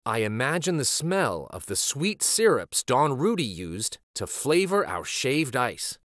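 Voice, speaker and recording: A man narrates a children's storybook with hugely varied, swooping intonation. He takes his time over the phrases, and the recording is close and dry. His delivery is expressive and deliberate. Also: medium pitch, no room sound. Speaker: children's read-aloud host and storyteller. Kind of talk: expressive picture book narration.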